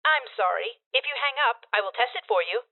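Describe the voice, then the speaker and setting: Through a thin, tinny phone line comes a high-voiced switchboard operator, acted by a woman. She is formal, sing-song and patiently unflappable, in the manner of a period radio play. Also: animated, fairly quick. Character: polite, unflappable female telephone switchboard operator. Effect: telephone filter. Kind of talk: period radio drama acting. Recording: tinny, narrow telephone-line sound.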